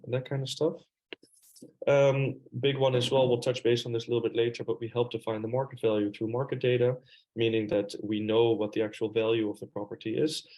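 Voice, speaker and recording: A man's voice: lowish voice, darkish tone, rough recording. A young man hosting a webinar, casual and hesitant with frequent fillers. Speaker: webinar host. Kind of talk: webinar presentation.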